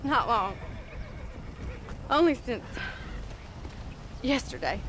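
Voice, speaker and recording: An actress playing a sharp-tongued, stubborn young frontier rancher woman in a dramatic video game cutscene. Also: fairly high pitch, big pitch swings, extremely slow, slight background noise, exaggerated voice. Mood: breezy denial. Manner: sardonic, dramatic.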